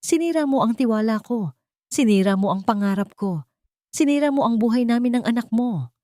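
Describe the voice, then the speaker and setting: A woman's voice: a little high, lively intonation, fairly quick, dark tone, no room sound, clean studio sound, pitched above the performer's own voice, close to an everyday voice. A woman voicing a bitter, hurt pregnant woman who speaks in a hard, accusing way in an audio drama. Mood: angry, hurt. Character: bitter, wounded pregnant woman confronting her ex-lover.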